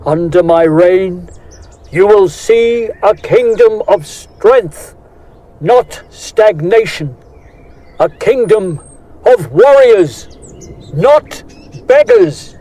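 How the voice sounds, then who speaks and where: Proud new king in a fantasy audio drama, slow solemn proclamations, kinda mellow high voice. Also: expressive, exaggerated voice. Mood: solemn, resolute. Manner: solemn, declamatory, deliberate.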